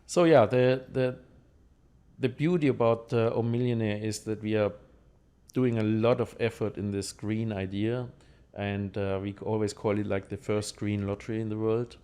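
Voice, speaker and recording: Mellow, low-voiced German man. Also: fairly even intonation, moderate pace, clean tone. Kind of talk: conversational explanation in an interview.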